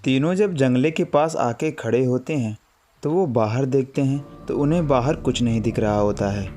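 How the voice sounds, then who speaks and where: A man's voice: medium pitch, moderate pace, dark tone, soft background music. A man reading a scripted horror story as its narrator.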